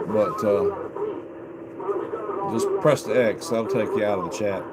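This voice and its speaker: A man's voice: lowish voice, measured pace. A man chatting casually in a rambling, folksy way as he hosts a live video from his basement radio room. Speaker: live stream host, CB radio hobbyist. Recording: very noisy home live stream.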